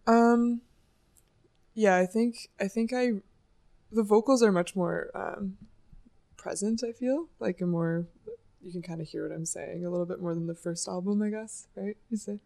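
A young woman musician with a low voice, rambling and laughing a lot as she talks about writing songs. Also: normal speed, gravelly, warm tone. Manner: giggly, rambling, many "like" fillers. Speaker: singer and songwriter. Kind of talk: casual interview chat.

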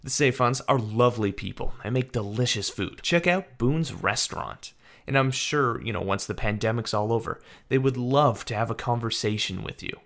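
A man hosting a radio-style program, asking interview questions and reading scripted introductions in a calm, even manner. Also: medium pitch, normal speed. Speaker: radio host.

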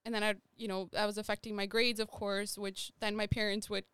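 Young woman guest, Nepal-born, loose rambling chat, smooth clear tone, dry close sound. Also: medium pitch, little pitch movement, brisk, fairly bright tone, dry recording. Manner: rambling, many "like" fillers. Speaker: interview guest. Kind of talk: casual conversational interview.

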